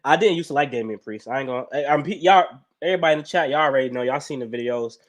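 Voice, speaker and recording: A man's voice: medium pitch, racing. A man co-hosting a casual wrestling chat stream, blunt and profane. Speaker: podcast co-host.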